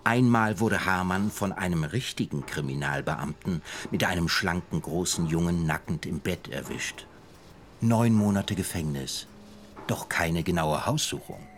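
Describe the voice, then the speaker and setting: An actor in a radio drama playing a sardonic writer, narrating in a deliberate, literary manner. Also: male voice, deep voice. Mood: grave, chronicling.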